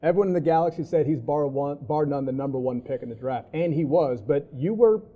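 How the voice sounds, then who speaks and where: A man's voice: fairly high pitch, a little fast, phone-quality sound. A man in his forties hosting a sports interview, setting up questions in a conversational style. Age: 40s. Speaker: sports show host, interviewer.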